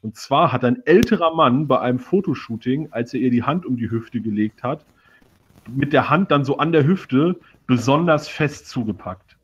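A man's voice: mid-range voice, lively intonation, normal speed, slightly rough, highs cut off. A man on a casual satirical panel show, talking in a mock-earnest, sarcastic way. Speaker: panelist on a live talk show. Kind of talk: casual satirical group discussion.

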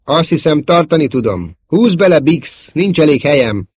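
A man's voice: fairly high pitch, animated, vocal fry, a little mellow, husky, dry recording, clean studio sound, voice pushed up, heavily acted. A man voicing a stubborn, reassuring male fighter pilot giving terse reports in a space battle audiobook. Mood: strained.

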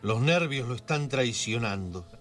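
A man narrating a scripted radio drama as a measured, theatrical storyteller. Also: mid-range voice, lively intonation, a bit bright, faint music behind. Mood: suspenseful.

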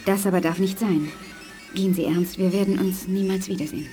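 A woman playing a grave, gentle and secretive eleven-year-old girl telepath in a radio play, speaking formally and earnestly. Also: medium pitch, steady tone, natural voice. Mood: pained, secretive. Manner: formal, measured, earnest phrasing. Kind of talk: 1980s German radio play acting. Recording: studio radio play with prominent music. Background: music.

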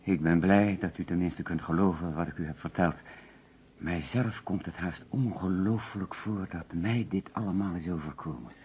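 An actor playing an anxious, courteous insurance agent under suspicion, speaking carefully and formally in a radio detective play. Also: male voice, deep voice, normal speed, a little hiss or hum, natural voice. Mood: anxious, pleading. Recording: muffled old radio recording.

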